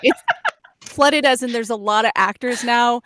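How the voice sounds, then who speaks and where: A woman's voice: fairly high pitch, measured pace, heavy background noise. A woman joking casually as a voice actor in a panel chat. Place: home booth, on a video call.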